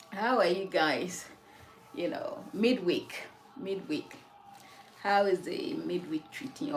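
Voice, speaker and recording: A woman from Ghana hosting a casual livestream from her home, talking reflectively about faith and answering viewers' comments. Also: lowish voice. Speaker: livestream host.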